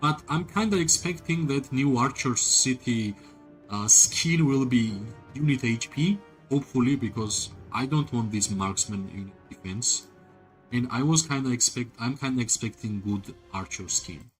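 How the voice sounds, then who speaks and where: A man's voice: mid-range voice, unhurried, faint music behind. A man streaming a video game, giving rambling, casual commentary and talking directly to his chat, often filling with "like" and tagging sentences with "right?". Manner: rambling, leans on "like" and tag questions "right?"; talks to chat viewers directly. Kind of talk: casual live-stream commentary while playing.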